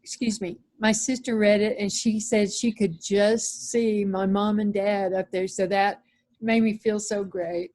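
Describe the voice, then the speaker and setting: Woman chatting casually, mid-pitched voice. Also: flat delivery, measured pace, darkish tone. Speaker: playwright hearing feedback. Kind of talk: casual chat. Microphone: video call.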